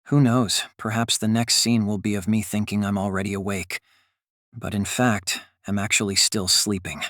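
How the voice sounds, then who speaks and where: A man's voice: on the low side, little pitch movement, moderate pace, a bit bright, dry recording, studio quality, lightly acted. A man playing an anxious young working man muttering wryly to himself in an audiobook. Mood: groggy, resigned. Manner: inner monologue, muttering questions to himself. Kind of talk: audiobook character acting.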